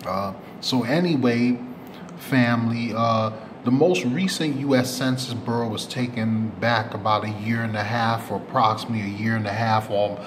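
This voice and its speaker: Low-voiced man rambling over background noise. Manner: rambling, repeatedly correcting himself with approximations. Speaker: host of a community news channel. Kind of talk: unscripted news commentary to subscribers. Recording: clear background noise, ambient room sound.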